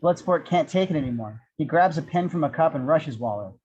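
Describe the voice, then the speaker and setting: A man's voice: on the high side, animated, fairly quick, darkish tone, husky, highs cut off. A man reading scripted action descriptions as narrator of an amateur table read. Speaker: narrator of stage directions.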